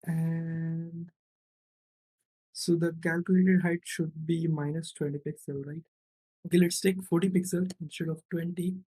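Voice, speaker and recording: A man's voice: fairly high pitch, little pitch movement, a little slow, mellow, slightly rough, low-quality recording. A man narrating an informal step-by-step screencast as a programming instructor, often checking in with "right" or "okay".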